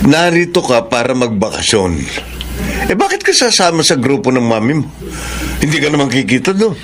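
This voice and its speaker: A man's voice: mid-range voice, very animated, moderate pace, crowd noise, close to an everyday voice. An actor playing a proud, money-minded middle-aged doctor and father in a radio drama, with an emphatic, theatrical delivery. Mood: stern, irritated.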